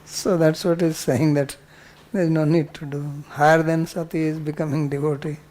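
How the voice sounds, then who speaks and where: An older man teaching a spiritual class and answering students' questions in a calm, plain-spoken way with dry humor. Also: fairly high pitch, moderate pace.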